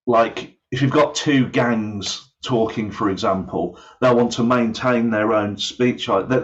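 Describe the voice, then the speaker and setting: A man's voice: lowish voice, lo-fi sound. A man explaining his points through examples in an online discussion about his field, with a hesitant, searching delivery. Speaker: aviation English course developer.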